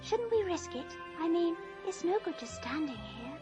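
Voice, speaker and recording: An actress playing an eager, watchful, excitable young girl in a children's fantasy drama. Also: very high voice, moderate pace. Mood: excited, hushed. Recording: music behind the voice.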